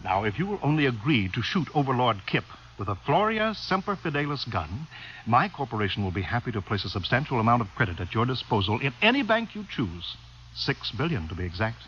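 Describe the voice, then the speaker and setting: An actor in a 1950s radio drama playing an ingratiating, pompous munitions executive with a formal, wordy manner. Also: male voice, mid-range voice, big pitch swings, fairly quick, a bit bright. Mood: salesmanlike. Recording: muffled, hissy vintage radio recording.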